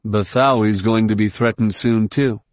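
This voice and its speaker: A man voicing a young Jedi who is weary and guarded, speaking in a flat, restrained way. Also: mid-range voice, a little slow, no echo, studio quality, lightly acted. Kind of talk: audiobook character voice.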